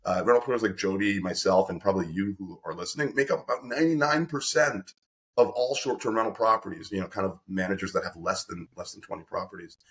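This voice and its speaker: A man hosting an interview podcast, energetic and effusive, often restarting his sentences. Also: low-pitched, fast talker. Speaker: podcast host and company representative.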